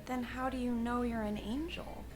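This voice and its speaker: A woman's voice: a little high, steady tone, normal speed, soft background music, lightly acted. A woman acting as a playful, curious young child in a drama, pleading and asking blunt questions. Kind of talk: stage-style drama acting.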